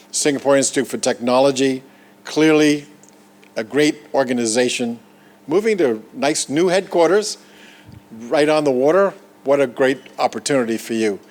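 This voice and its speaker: A man from the Bahamas giving an earnest, encouraging ceremonial speech at a podium as a Rotary Foundation leader. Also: medium pitch. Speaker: Rotary Foundation trustee chair.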